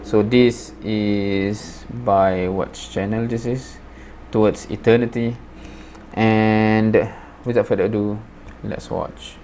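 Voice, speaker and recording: A man's voice: on the low side, steady tone, slow pace, a little mellow, slight background noise. A man hosting a reaction video, talking casually and hesitantly with many fillers.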